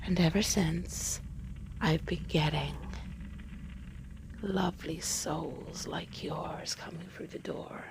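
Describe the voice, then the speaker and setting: A woman playing a seductive, sly demon who owns a bar, in a dark roleplay audio, teasing and savouring her words. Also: deep voice, fairly even intonation, slow, creaky, background noise, full character voice. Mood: sly, gloating. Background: music.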